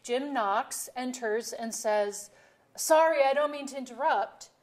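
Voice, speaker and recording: A woman's voice: high-pitched, measured pace. A woman playing a sardonic, blunt middle-aged wife and mother in a staged comedy reading, with a dry delivery. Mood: teasing. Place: auditorium stage.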